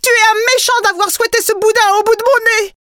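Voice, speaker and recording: A woman voicing an excitable, greedy peasant wife who talks emphatically and dramatically. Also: high voice, measured pace, no echo, clean studio sound, voice pushed up. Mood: distraught.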